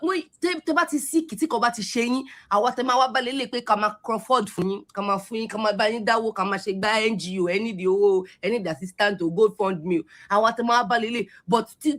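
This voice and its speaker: Fast scolding Yoruba-accented woman. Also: fairly high pitch, fairly bright tone. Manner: scolding, repetitive, switches between Yoruba and English. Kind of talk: angry social media rant.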